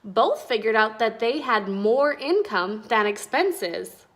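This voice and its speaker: A woman with a fairly high voice reads a children's book aloud. She takes her time, very slowly and deliberately, telling the story with expression. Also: animated, fairly bright tone. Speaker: read-aloud reader.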